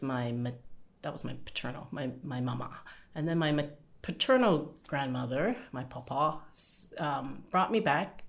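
Low-voiced woman telling her family story. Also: gravelly, fairly bright tone. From Canada. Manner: rambling, chatty, laughs often. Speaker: interview guest. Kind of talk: relaxed personal storytelling.

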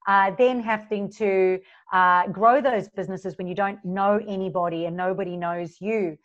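A woman's voice: mid-range voice, fairly even intonation, moderate pace. A woman in her forties with a South African accent mixed with Australian, talking casually as an interview guest and laughing often between phrases. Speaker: guest, business coach. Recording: slightly dull lo-fi sound.

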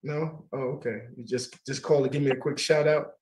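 A middle-aged man hosting a casual talk radio show, warm and laughing easily, often repeating his words. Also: medium pitch, fairly even intonation, fairly quick.

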